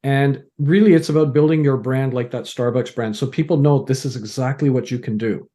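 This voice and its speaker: A man's voice: medium pitch, brisk, warm tone. A middle-aged man from Canada, a podcast guest talking casually, explaining ideas through stories and analogies with frequent restarts. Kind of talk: casual interview conversation.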